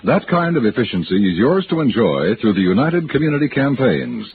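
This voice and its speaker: A man's voice: medium pitch, sing-song, fairly quick, a little hiss or hum. An American man reading scripted announcements in a 1950s radio announcer's style. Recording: tinny vintage radio broadcast with music.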